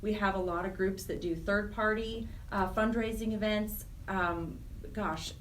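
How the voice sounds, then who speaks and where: A woman's voice: mid-range voice, steady tone, measured pace, distant mic, a little hiss or hum. A woman speaking conversationally and earnestly as a nonprofit director being interviewed, stressing points by repeating words. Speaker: nonprofit program director, radio interview guest.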